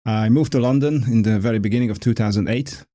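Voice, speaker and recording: A man in his forties from Hungary with a Hungarian accent, an architect talking through his work as a podcast guest in a measured, explanatory way. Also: lowish voice, warm tone, no echo, clean studio sound. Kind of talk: casual conversation.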